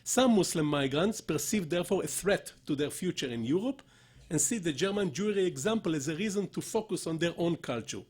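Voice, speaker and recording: Male academic speaker, slightly high pitch, rough husky tone, deliberate emphatic lecture delivery. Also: animated, darkish tone. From Israel. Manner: deliberate, emphatic, with rhetorical questions and asides. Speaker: professor, conference speaker. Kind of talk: academic conference lecture, partly read from notes.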